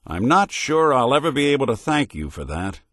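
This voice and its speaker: A man's voice: medium pitch, very animated, normal speed, a bit bright, no room sound, clean studio sound. An actor voicing a world-weary male synth detective in a video game, a robot carrying an old cop's memories, talking in a hard-boiled drawl. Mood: heartfelt. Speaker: companion character.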